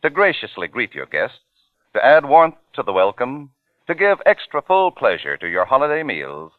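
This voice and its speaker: An American man announces a vintage radio ad. He is polished, measured and emphatic, with very animated pitch movement. The old recording is narrow and dry. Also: no room sound. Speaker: commercial announcer. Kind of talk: 1940s radio ad read.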